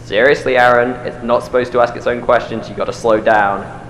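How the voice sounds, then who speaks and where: An actor playing a cautious, worried lab colleague in an audio drama, speaking informally and in a cautioning way. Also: male voice, medium pitch, steady tone, fairly quick, fairly bright tone, slight background noise, natural voice, low-quality recording. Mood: anxious warning.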